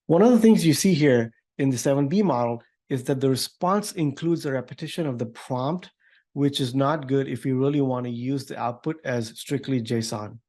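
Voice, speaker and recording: A man giving an informal, upbeat software demo walkthrough as a developer advocate. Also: medium pitch, normal speed. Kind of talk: tech demo walkthrough, semi-scripted.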